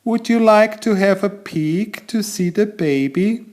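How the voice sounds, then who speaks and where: A man's voice: sits high, a little slow, darkish tone, pitched above the performer's own voice. A man voicing an elegant, vain tomcat who chats theatrically to young listeners while telling a children's picture-book story. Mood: confiding. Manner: self-admiring, theatrical, addresses young listeners directly.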